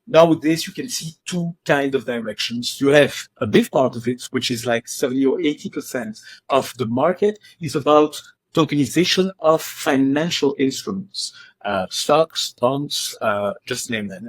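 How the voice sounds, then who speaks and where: A man's voice: mid-range voice, no echo. A man from Belgium with a French accent, speaking as a podcast guest in a casual, hesitant way with many fillers. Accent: French-accented English. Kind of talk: casual interview.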